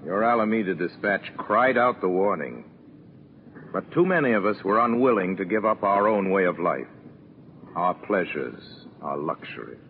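Grave wartime narrator, very low voice, oratorical, tinny vintage radio sound. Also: male voice, expressive, moderate pace. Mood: grave, foreboding. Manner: solemn, rhetorical. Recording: tinny, noisy 1940s radio recording.

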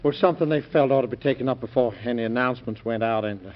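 A man's voice: mid-range voice, rapid-fire, lightly acted. An actor playing a nervous male colonel in a classic radio comedy scene, stammering and hemming and hawing. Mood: flustered. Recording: dull vintage radio recording.

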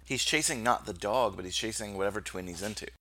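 A woman co-hosting a casual film podcast, animated and laughing often. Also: very deep voice, quick pace. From Latin American heritage. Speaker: podcast co-host. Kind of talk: casual chat, film review.

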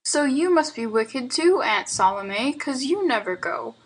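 A woman's voice: high voice, expressive, measured pace, husky, pitched above the performer's own voice, heavily acted, poor audio. A woman voicing a mischievous, earnest little boy in a storybook, with childish slang and plaintive reasoning. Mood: despondent, pondering. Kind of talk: storybook character dialogue.

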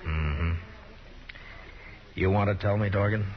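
A man playing a calm, commanding frontier marshal with a dry, unhurried manner in a 1950s radio Western. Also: low voice. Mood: firm, commanding. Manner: dry, laconic, unhurried authority. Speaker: lead actor. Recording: muffled 1950s radio recording, crowd behind.

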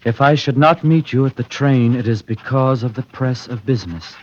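A man's voice: mid-range voice, moderate pace, darkish tone, close to an everyday voice. A man playing a cold, secretive murderer in an old-time radio drama, curt and controlled. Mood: measured. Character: cold, secretive, elegantly dressed male murderer. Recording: muffled, noisy vintage radio recording.

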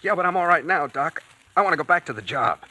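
An actor playing a nervous, pleading young factory worker in an old radio drama. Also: male voice, on the high side, lively intonation, fast talker, sharp tone. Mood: anxious insistence. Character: jittery, desperate young male factory worker. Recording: very noisy vintage radio recording.

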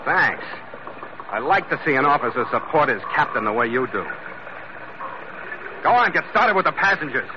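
A man's voice: a little high, big pitch swings, moderate pace, pauses often. An actor playing a tough, decisive sea captain in a classic radio drama, clipped and hard-boiled as he acts and narrates. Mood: brisk, firm. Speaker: actor in the lead role. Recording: dull, noisy old radio recording.

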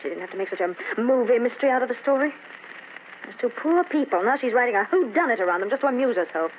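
An actress playing a jealous, defensive young wife in an old time radio horror drama, on a noisy, tinny vintage recording. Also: female voice, fairly high pitch, animated, fast, natural voice. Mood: annoyed, sarcastic. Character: jealous, defensive young wife, newly moved into an uneasy house.

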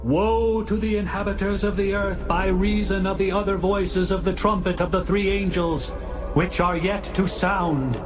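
A high, slow-paced angel of doom acted by a man proclaims a dire warning in a scripture drama. The words are drawn out over prominent music. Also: fairly even intonation. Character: flying angel loudly proclaiming doom to mankind.

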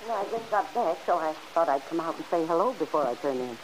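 An actress playing a kindly, principled older aunt who chides gently and earnestly in an old-time radio serial. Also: female voice, low voice, expressive, fast talker. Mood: friendly. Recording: hissy old radio recording.

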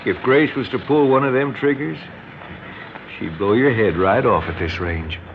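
A man playing stubborn country father, rural American drawl, genial menace, radio play, dull sound, clear background noise. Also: mid-range voice, moderate pace, lightly acted. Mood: genially menacing. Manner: drawling, chuckling, homespun politeness laced with menace. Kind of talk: 1960s radio drama acting. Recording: muffled old radio broadcast, heavy noise.

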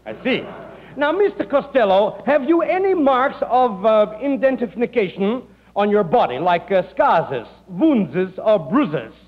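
Very high-pitched, oily moneylender. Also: male voice, sing-song, a little slow. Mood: unctuous. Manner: over-polite, formal, mangled foreign grammar. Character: fussy, oily, foreign finance company man. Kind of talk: 1940s radio comedy acting. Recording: dull, noisy old radio recording, hall reverb.

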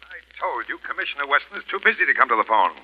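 A man's voice: mid-range voice, sing-song, racing, breathy edge, narrow, tinny audio. An actor playing a brusque, authoritative male police officer in an old radio drama. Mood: brisk, official. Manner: curt, authoritative. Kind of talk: 1940s radio drama acting.

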